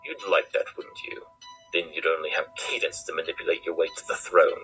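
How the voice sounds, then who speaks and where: A man voicing a shrewd, smug cartoon pony detective with a theatrical, deliberate way of explaining things. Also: a bass voice, animated, fairly bright tone, faint music behind, poor audio. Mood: triumphant reasoning. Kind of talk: fan cartoon voice acting.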